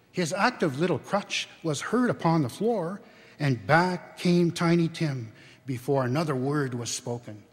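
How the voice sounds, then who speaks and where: A man giving a dramatic, expressive storybook reading to a live audience in a large, echoing church. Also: mid-range voice, slightly rough. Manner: theatrical, expressive, deliberate phrasing.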